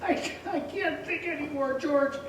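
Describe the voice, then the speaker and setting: Scatterbrained excitable old uncle, male actor, very high voice, stammering, radio play in a heavily echoing hall. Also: unhurried. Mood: distraught. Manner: stammering, flustered. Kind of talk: old-time radio play acting on stage. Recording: distant echoey stage recording, faint noise.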